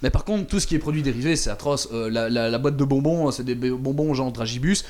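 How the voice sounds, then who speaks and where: A man with a middle-pitched voice talks in a loose, casual way. He stumbles over his words, repeats himself and fills pauses with hesitations. He chuckles often as he tells a story. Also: darkish tone. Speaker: radio show contributor recounting a trip. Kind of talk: casual chat.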